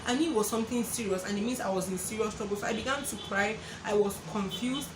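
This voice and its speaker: A young woman with a Nigerian accent, telling a personal story to her viewers in an animated way and acting out the voices of others. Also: mid-range voice, fast. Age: young adult. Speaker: vlogger. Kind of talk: storytelling to camera. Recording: echoey room with background noise.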